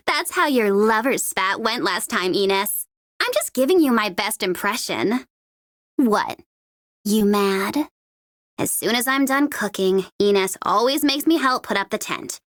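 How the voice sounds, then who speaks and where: A woman's voice: medium pitch, animated, slow pace, long pauses, fairly bright tone, dry recording, studio quality. A woman playing a cocky young mercenary in a video game, with a mocking, playful and sardonic manner. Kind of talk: video game character voice lines.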